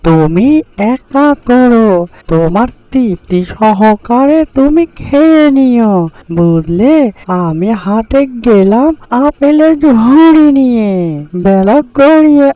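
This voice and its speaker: A performer acting out a small, kind-hearted bird from a cartoon folk tale. The voice is soft-toned and mellow, recorded close and dry. It speaks slowly, stretching exclamations in an earnest, singsong way. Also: medium pitch, animated, no room sound. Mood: sympathetic, kindly. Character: kind, earnest little bird living alone, scolding yet compassionate. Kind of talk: slow cartoon storytelling dialogue.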